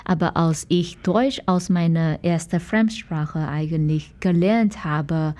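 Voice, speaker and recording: A woman with a Malaysian accent talks at a slow, unhurried pace in a soft-edged, mellow and slightly low voice. She is a non-native speaker, a native of English, Mandarin and Malay, and hesitates as she looks for the right words in an interview. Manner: hesitant, searching for words in a learned language. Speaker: guest, dancer and dance teacher. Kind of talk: conversational interview answers.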